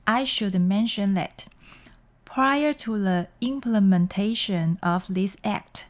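Female researcher from Taiwan, deliberate and structured, average pitch. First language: Mandarin. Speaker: language researcher. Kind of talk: interview answers.

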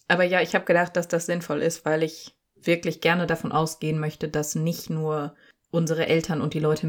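A woman in her late twenties with a fairly low voice co-hosts a casual podcast. She speaks conversationally and pokes dry fun at herself. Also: darkish tone. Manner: self-deprecating, dryly joking. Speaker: podcast co-host, spoken-word artist.

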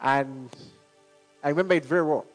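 A high-pitched man delivers a lively sermon, laughing often as he moves between points. Soft music plays faintly behind him. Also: normal speed, low-quality recording. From Zambia. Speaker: preacher.

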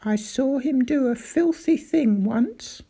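A woman's voice: high voice, slow pace, husky, voice pushed up, heavily acted. Woman doing a fussy little old country doctor. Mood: indignant. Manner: excitable, grave then outraged. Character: small elderly country doctor, fussy and indignant.

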